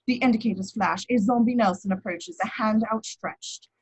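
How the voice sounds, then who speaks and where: A woman's voice: fairly high pitch, moderate pace, slightly rough, rough recording. A woman reading out scripted action descriptions as narrator at an amateur table read.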